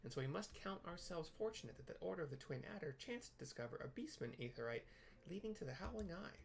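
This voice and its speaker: A person reading game dialogue aloud as a formal, earnest fantasy character, with theatrical, archaic, deliberate delivery. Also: medium pitch, big pitch swings, gravelly, slight background noise. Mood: grave, earnest. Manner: theatrical, stately, deliberate wording.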